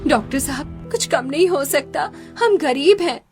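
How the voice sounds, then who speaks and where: A woman's voice: sits high, lively intonation, unhurried, a little mellow, faint music behind. A woman voicing a distraught, pleading poor village wife in a Hindi cartoon story. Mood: tearful despair. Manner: pleading, tearful.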